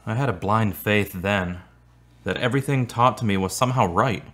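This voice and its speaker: A man's voice: lowish voice, normal speed, a bit bright. An actor playing a kind, devout male friend in a radio drama, with a polite, earnest, tentative manner. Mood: sincere.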